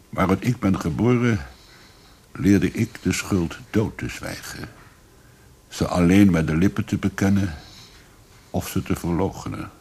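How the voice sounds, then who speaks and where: An actor playing a grave, probing police investigator in a radio drama, speaking in a measured, deliberate way. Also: male voice, sits low, mellow, breathy edge, full character voice. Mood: solemn, deliberate.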